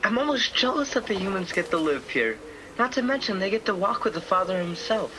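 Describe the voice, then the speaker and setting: A man's voice: sits high, big pitch swings, a little fast, a crowd in the background. A man playing an earnest, loyal guardian angel, conversational in delivery, in a radio play. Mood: admiring wonder. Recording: noisy home recording with ambience.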